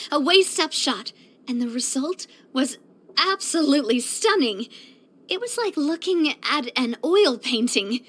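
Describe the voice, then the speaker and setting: A woman voicing a bright, earnest, sociable young female leader in a video game, chatty and expressive. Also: sits high. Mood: admiring. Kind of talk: video game character voice lines.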